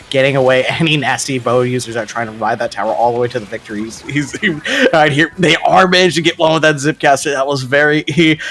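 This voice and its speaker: A man commentating a live esports match, excitable and hoarse, stumbling over his words and leaning on "here". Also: medium pitch, a little hiss or hum. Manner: excitable, stumbling restarts, pet phrase "here". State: losing his voice.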